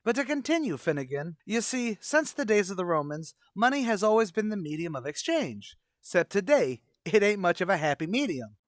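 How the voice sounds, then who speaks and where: Brash, know-it-all tavern boss played by a man for old-time radio comedy; swooping, raised pitch, colloquial bluster. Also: sing-song, brisk, few pauses, no echo, studio quality. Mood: pompous lecturing. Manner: pompous, malapropism-prone, colloquial. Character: brash, self-important tavern manager mangling big words.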